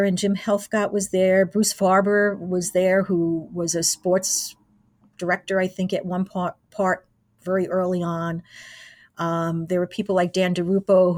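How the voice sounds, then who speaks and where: A woman's voice: fairly low pitch, darkish tone, no echo, clean studio sound. A woman in her sixties reminiscing casually as an interview guest. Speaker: interviewee, radio station alumna.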